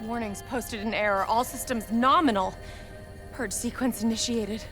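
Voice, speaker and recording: Lady doing a doomed brave agent in a game cutscene, kinda high voice, grave, loud music under it. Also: moderate pace, close to an everyday voice. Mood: grim resolve. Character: brave, doomed female agent pleading a warning. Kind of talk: dramatic video game acting.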